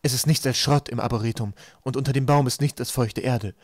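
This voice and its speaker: Storybook villainous leader, read by a man: medium pitch, measured, condescending, slyly persuasive. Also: fairly even intonation, dry recording, clean studio sound, lightly acted. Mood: accusing. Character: smooth-talking manipulative island leader, calmly hostile man.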